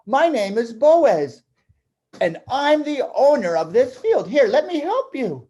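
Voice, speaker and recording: Man playing a kindly, lively puppet farmer. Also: very high voice, takes it slowly, husky, lo-fi sound, exaggerated voice. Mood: kind, welcoming. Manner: welcoming, reassuring. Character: kindly, welcoming male farm owner in puppet play. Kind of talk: puppet show storytelling.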